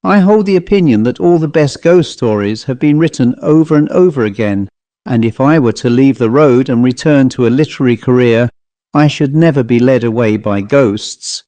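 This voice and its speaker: A man voicing a large, boring travelling salesman, pompous and earnestly dull, with a flat, long-winded delivery in a story reading. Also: mid-range voice, moderate pace, no echo, natural voice. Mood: pompous, holding forth.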